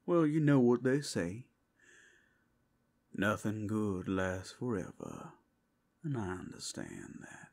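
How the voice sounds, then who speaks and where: A man voicing a flirtatious, sly male werewolf in an ASMR roleplay, with teasing, drawn-out asides. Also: on the low side, gravelly, heavily acted. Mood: flirtatious, wistful.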